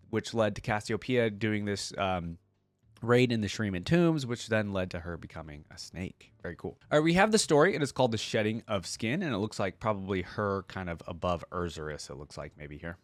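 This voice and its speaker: A man's voice: medium pitch, no echo. A man hosting a reaction video, reading a story aloud expressively and adding casual asides of his own.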